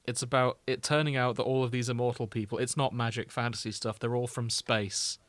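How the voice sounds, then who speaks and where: A man's voice: medium pitch, flat delivery, brisk, fairly bright tone, dry recording. A man co-hosting a podcast in casual comedic chat, full of opinionated, mock-indignant banter. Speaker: podcast co-host.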